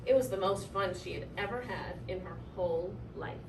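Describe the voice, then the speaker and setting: A woman reading a children's story aloud in an expressive, animated way, drawing out key words for effect. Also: fairly low pitch, moderate pace. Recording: roomy, distant mic, clearly noisy.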